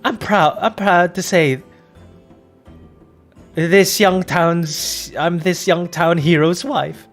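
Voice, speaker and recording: Man doing a high-voiced proud young wife. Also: fairly even intonation, slow pace, pauses often, a bit bright, soft background music, exaggerated voice. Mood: proud, polite.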